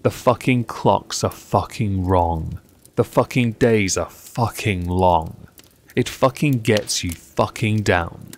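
A man reciting poetry in measured, deliberate short phrases, as the reader on his own channel. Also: on the low side, a little hiss or hum.

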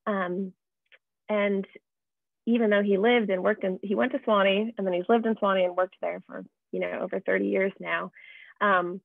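Young American woman, presenter on a video call, creaky voice, dull narrow audio, informal and explanatory. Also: mid-range voice, steady tone, normal speed, no room sound. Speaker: project presenter. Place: indoors at home, on video call. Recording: muffled, band-limited video call audio.

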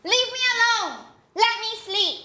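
A woman's voice: extremely high pitch, lively intonation, measured pace, bright, forceful tone, heavily acted. A teenage girl acting an upset, sulky schoolgirl in a school readers theater play. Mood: upset, defiant. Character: upset, bullied schoolgirl Jamie, sulky and defiant. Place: school stage in an auditorium.

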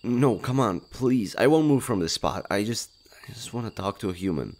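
A man's voice: lowish voice, lively intonation, moderate pace, darkish tone. A man playing a shy, nervous, friendly skinwalker in human disguise in a roleplay audio drama, hesitant and stammering. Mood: panicked pleading.

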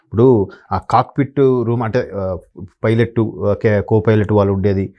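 A man speaking conversational commentary as an analyst guest, hesitant and often pausing to search for words. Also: on the low side, fairly even intonation, lo-fi sound. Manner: hesitant, many fillers and word-searching pauses.

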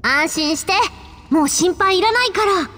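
A woman's voice: very high-pitched, animated, moderate pace, sharp tone, heavily acted. An actress voicing a bubbly, careless teenage girl treasure hunter with a playful, breezy manner in a Japanese video game. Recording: game music behind.

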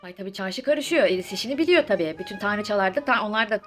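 A woman tells a story like juicy gossip, with music playing behind her. Her voice sits at a middle pitch and she talks briskly, in casual slang. She is theatrical and sarcastic, throwing in mock-indignant asides and rhetorical questions. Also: animated. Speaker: storyteller host of a comedic mythology series. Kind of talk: comedic casual storytelling, retelling myths in slang. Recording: voice over background music.

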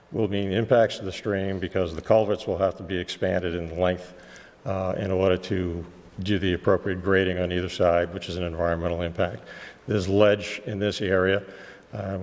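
A man with a cold, losing his voice, presenting a technical site plan to a planning board in a measured way with many "uh" fillers. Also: low-pitched, a little mellow, in a large hall. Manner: measured and explanatory, with frequent "uh" fillers. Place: town meeting room.

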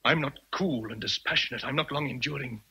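Passionate domineering english gentleman, really husky n tense, radio play. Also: medium pitch, brisk, full character voice. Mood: impassioned pleading. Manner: intense, pleading and threatening. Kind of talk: radio drama acting.

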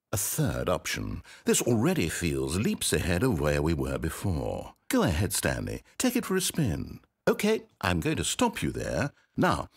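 A man's voice: low-pitched, breathy edge, exaggerated voice. A man playing a fussy, controlling video game narrator who talks in a dry, sarcastic, mock-patient way. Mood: wry.

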